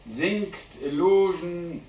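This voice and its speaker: A man giving a live dramatic poetry reading at a literary event, declaiming deliberately with strong shifts between hushed and loud delivery. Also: high-pitched. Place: indoor literary event venue at a theatre. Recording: roomy live hall recording, distant mic, faint noise.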